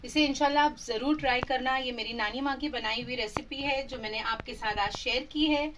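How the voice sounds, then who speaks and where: A woman's voice: high-pitched, fairly quick, fairly bright tone, distant mic, a little hiss or hum, rough recording. A woman hosting her cooking channel, chatting through a recipe as voice-over and mixing English phrases into her talk.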